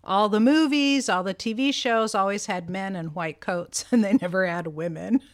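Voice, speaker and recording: A woman in her seventies, a podcast co-host, telling her life story in casual conversation, animated and laughing often. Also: mid-range voice, moderate pace. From United States. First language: Greek. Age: 70s.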